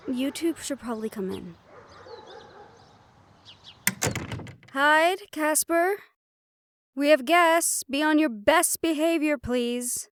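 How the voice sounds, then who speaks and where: An actress playing a friendly, brisk woman medium who runs a haunted house, chatty and matter-of-fact in an audio roleplay. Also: high-pitched, expressive, a little hiss or hum. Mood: knowing, composed.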